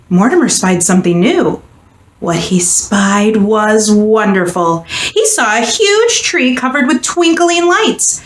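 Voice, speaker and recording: A woman reading a children's picture book aloud with expressive, dramatic and deliberate storytelling. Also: a little high. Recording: echoey room, faint background noise.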